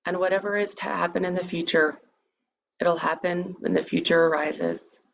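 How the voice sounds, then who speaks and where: A woman with a deep, gravelly-edged voice guides listeners through a meditation. Her delivery is measured and soothing, gently inviting the listener along. The recording is a little dull, with some background noise. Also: steady tone. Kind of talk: guided meditation, part improvised, part read lecture.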